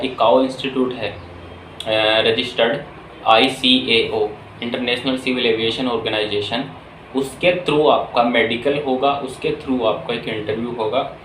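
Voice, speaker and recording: Male explainer host, mid-pitched, informal and instructional, roomy echo with music behind. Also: a bit bright, a little hiss or hum. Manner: instructional, Hindi mixed with many English terms. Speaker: video host explaining a career path. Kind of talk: informal explainer addressing viewers.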